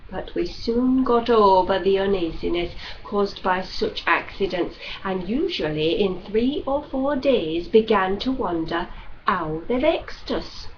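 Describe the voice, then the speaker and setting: A woman narrating a classic novel as an audiobook reader, with a measured, expressive storytelling delivery. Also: medium pitch. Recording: dull lo-fi recording with faint noise.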